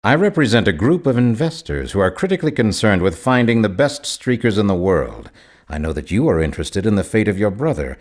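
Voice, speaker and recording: A man's voice: lowish voice, sing-song, fairly quick, darkish tone. A man playing a secretive, imperious agent of shadowy investors in a video game, formal and pompous in his speech. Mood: cryptic, commanding. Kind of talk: video game character dialogue.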